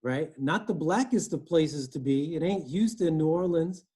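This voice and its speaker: A man's voice: fairly high pitch, moderate pace, warm tone, husky. A man in his forties with an East Coast American accent, from Connecticut, talking earnestly as an interview guest on a video call from home, often checking in with "you know" and "right?". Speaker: guest, HR director. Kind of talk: conversational interview. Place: home room, indoors.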